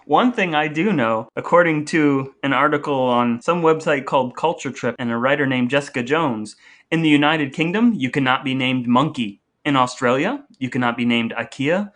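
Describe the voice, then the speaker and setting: Man, medium pitch, casual podcast chat, playful, dry humor. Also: normal speed, a bit bright. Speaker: podcast co-host.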